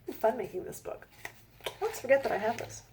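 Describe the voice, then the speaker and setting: A woman author reading her children's book aloud in a gentle sing-song manner, with chatty asides to young listeners. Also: on the high side, very animated, fast talker, noisy background.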